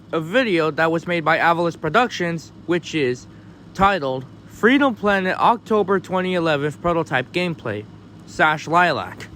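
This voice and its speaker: Male video host, slightly high voice, casual explainer commentary with choppy, halting delivery. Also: a bit bright, a little hiss or hum.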